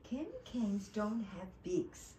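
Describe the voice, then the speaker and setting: A woman's voice: mid-range voice, wildly swooping pitch, slow, warm tone, roomy sound, recorded from a distance, slight background noise, poor audio. A woman voicing a bossy, watchful Christmas elf from a storybook, scolding emphatically. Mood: matter-of-fact. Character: bossy, vigilant Christmas elf turning intruders away.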